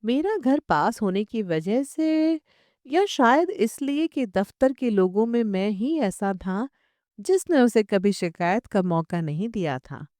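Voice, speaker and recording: A woman reading a literary short story as an audiobook narrator, in measured, expressive storytelling. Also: mid-range voice, no room sound, clean studio sound.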